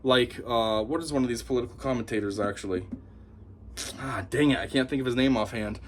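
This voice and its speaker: A man giving an opinionated monologue to camera as a commentator, talking in a scornful, animated way. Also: mid-range voice, brisk, slight background noise. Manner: animated, scornful, mocks opponents in put-on voices. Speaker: YouTube commentator.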